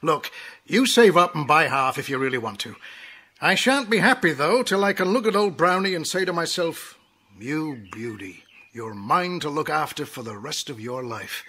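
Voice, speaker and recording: Humble horse-loving workman in a kids audio play, raspy, bright voice, homely and earnest. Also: on the high side, lively intonation, moderate pace, heavily acted. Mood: fond. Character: humble, kind-hearted male stable worker who loves horses. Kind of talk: audio drama acting for children.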